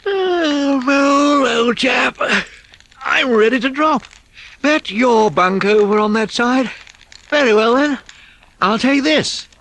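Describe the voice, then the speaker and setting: A storyteller voicing a brisk, kindly male water rat who talks in a hearty, commanding way. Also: medium pitch, wildly swooping pitch, a bit bright, husky, voice pushed up, heavily acted. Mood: weary. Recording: noisy background hiss.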